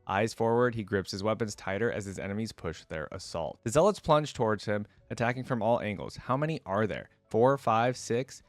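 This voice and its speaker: A man's voice: on the low side, dry recording. A man who creates reaction videos, reading a story aloud expressively and dramatically, with casual asides.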